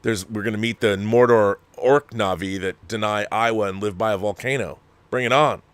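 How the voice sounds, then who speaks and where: Low-voiced man rambling with emphatic opinions. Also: steady tone, a bit bright, smooth, dry recording. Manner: rambling, emphatic, halting mid-sentence and rephrasing. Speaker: host of a film discussion show. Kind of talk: casual opinionated commentary, reading viewer letters.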